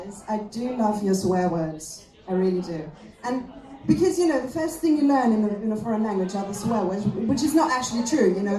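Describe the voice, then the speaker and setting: A woman, a German speaker, performing a self-deprecating, conversational stand-up routine in English with halting pauses, recorded live in a venue. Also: medium pitch, mellow. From Austria. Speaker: stand-up comedian. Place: comedy festival venue, live on stage. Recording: echoey live room recording.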